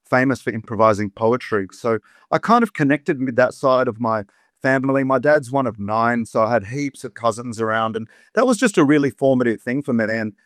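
A man's voice: medium pitch, lively intonation, a little fast, dry recording, clean studio sound. A man in his thirties with an Australian accent, a poet and rapper telling stories as an interview guest, chatty with many asides. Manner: warm storyteller, chatty with many "you know" asides. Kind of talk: relaxed reflective interview talk.

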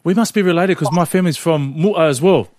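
A man's voice: on the high side, a little mellow, no room sound, clean studio sound. A man co-hosting a casual interview in a chatty, jokey way. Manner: chatty, jokey, quick to laugh. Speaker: interview co-host.

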